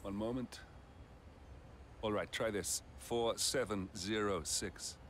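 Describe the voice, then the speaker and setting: Low-voiced, businesslike mission handler. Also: male voice, fairly even intonation, takes it slowly, fairly bright tone, slight background noise. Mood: brisk, helpful. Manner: focused, matter-of-fact. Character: composed, businesslike mission handler guiding an agent. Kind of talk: video game mission briefing.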